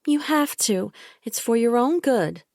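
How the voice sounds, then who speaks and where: A woman's voice: fairly high pitch, animated, unhurried, darkish tone, dry recording, studio quality, pitched above the performer's own voice. A woman voicing a controlling woman caretaker who talks in a thick, sickly sweet, cooing voice. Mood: cloying.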